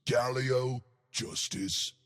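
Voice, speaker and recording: A man voicing a huge, boastful, simple-minded stone giant from a video game, eager for a fight, with a gravelly, husky voice and a slow, hammy delivery. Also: mid-range voice, steady tone, fairly bright tone. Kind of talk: video game character voice lines.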